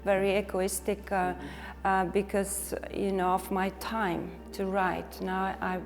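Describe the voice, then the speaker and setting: Icelandic-accented woman novelist, middle-aged, creaky and level delivery, thoughtful with restarts, reverb hall and music underneath. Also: medium pitch, flat delivery, takes it slowly. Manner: restarts, repeats words while thinking. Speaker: novelist, interview guest. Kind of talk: reflective conversational interview.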